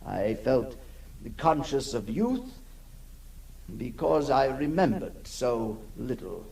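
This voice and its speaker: An actor playing a haunted, lonely male outcast in a halting, theatrical 1960s radio drama monologue, intense and tormented. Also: medium pitch, sing-song, vocal fry, darkish tone, exaggerated voice. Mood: brooding, wistful. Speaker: actor narrating as the character. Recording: noisy 1960s radio recording.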